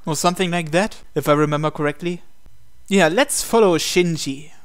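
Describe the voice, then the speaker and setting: A man reads visual novel narration aloud as a gaming host. His voice sits a little high for a man and is very clean and smooth. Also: normal speed.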